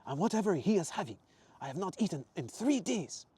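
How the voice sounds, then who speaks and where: An effusive Italian traveler acted by a man reading a storybook, earnest and grateful. The voice is raised and swings widely in pitch, with an Italian accent. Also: very animated, a little fast, mellow, breathy edge, no room sound, full character voice. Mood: sincere, grateful.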